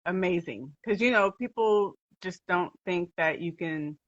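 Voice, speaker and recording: A woman's voice: fairly low pitch, measured pace, dry recording, highs cut off. A woman hosting an interview, asking questions in an enthusiastic, chatty way with casual slang. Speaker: podcast host.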